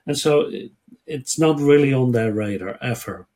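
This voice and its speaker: A man of about sixty with a husky, slightly breathy voice. He speaks as an interview guest, earnest and measured, pausing now and then to find his words. His voice sits at a middling pitch. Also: lively intonation, a little mellow. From Netherlands. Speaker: guest, ministry worker and lecturer. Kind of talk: interview answers, personal storytelling.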